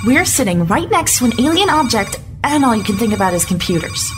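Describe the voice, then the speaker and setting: Woman playing a high, animated, complaining crewmate. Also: brisk. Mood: whiny, impatient. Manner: complaining, insistent. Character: restless, whining young female crew member, eager and impatient. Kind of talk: audio drama acting. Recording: loud music bed under the voice.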